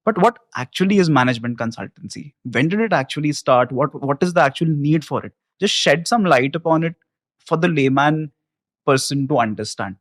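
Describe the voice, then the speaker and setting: A man's voice: on the high side, expressive, a little fast, dry recording, studio quality. A man hosting a podcast, asking curious questions and giving short agreeing replies. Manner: curious, brief backchannels. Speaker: podcast host. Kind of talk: interview questions.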